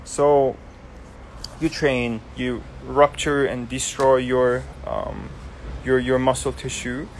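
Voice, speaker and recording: A man's voice: mid-range voice, big pitch swings, slow pace, vocal fry, a little hiss or hum, rough recording. A young man from Germany, hosting a casual live Q&A and explaining things loosely with many ums and restarts. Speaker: host. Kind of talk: casual live Q&A, answering viewer questions.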